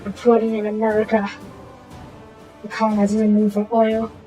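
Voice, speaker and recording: A woman with a slightly hoarse voice, casually talking through a strategy game and muttering to herself as she plays. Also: medium pitch, monotone, moderate pace, soft background music. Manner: muttering to herself while playing, trailing off into quiet asides. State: hoarse from a sore throat. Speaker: gaming channel host. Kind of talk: casual gameplay commentary.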